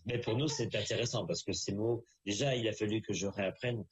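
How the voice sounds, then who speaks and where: Low-voiced middle-aged man hosting. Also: brisk, breathy edge. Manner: repeats words, rambling, hesitant phrasing. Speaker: interviewer, show host. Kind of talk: casual, friendly interview.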